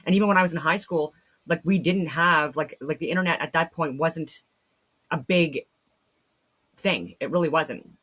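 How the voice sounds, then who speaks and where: A woman's voice: sits low, normal speed, a bit bright, slightly rough. Blunt, skeptical woman reacting casually. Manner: blunt, skeptical, repeats phrases for emphasis. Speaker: live stream host reacting to a video. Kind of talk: casual live reaction commentary.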